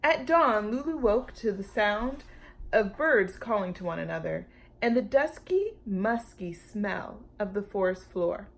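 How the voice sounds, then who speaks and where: A woman reading a children's storybook aloud as a storytime teacher, expressive and speaking directly to young listeners. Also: mid-range voice, gravelly.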